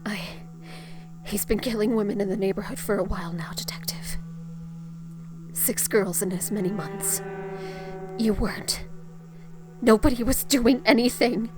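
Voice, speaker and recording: An actress playing a young rookie superhero, grieving and defensive, in a halting, emotional audio drama performance. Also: female voice, mid-range voice, natural voice. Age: young adult. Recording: music behind the voice.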